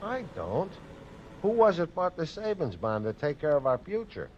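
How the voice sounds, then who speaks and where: A man's voice: fairly high pitch, huge pitch swings, moderate pace. A man playing a lazy, grumbling suburban husband with a whiny, dry, sarcastic manner in a 1950s radio comedy sketch. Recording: muffled old radio broadcast, faint noise.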